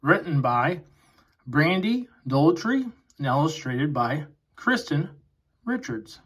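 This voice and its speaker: Higher-voiced man reading a children's storybook. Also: sing-song, slow pace, slightly rough. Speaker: children's picture book reader. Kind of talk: read-aloud storybook narration for children.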